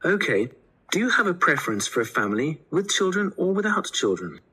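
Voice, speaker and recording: An actress playing a helpful, businesslike homestay office clerk asking questions in a scripted test dialogue. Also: female voice, on the low side, very animated, brisk, sharp tone, husky, exaggerated voice, low-quality recording.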